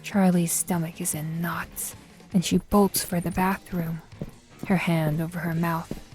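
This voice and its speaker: Low, even-toned woman narrating slowly. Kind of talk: scripted audio drama narration. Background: faint music.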